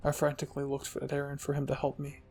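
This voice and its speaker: A man's voice: medium pitch, monotone, quick pace, darkish tone. A man narrating a scripted fan-fiction story dramatically, as the host of a storytelling channel. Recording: music behind the voice.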